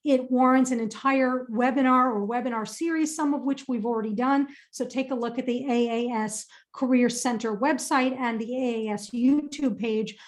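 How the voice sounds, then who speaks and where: High-voiced upbeat woman giving a webinar. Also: fairly even intonation, moderate pace, few pauses. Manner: upbeat, emphatic, repeats phrases for stress. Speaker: career consultant presenting a webinar. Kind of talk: webinar presentation with audience Q&A.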